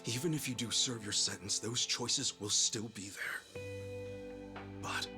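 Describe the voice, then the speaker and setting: Man playing loyal easygoing friend, American accent, deepish voice, earnest, informal, video game drama, music underneath. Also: unhurried, natural voice. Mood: warm, sincere. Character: easygoing, loyal, sincere adult man, a yakuza's forgiving pal. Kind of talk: video game cutscene acting. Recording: music behind the voice.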